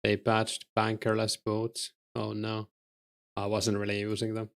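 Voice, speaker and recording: A low-voiced man with a Finnish accent, speaking in a gravelly, monotone way. He chats casually with his stream's viewers while playing, answering them in a relaxed manner with plenty of fillers. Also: unhurried. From Finland. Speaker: game streamer.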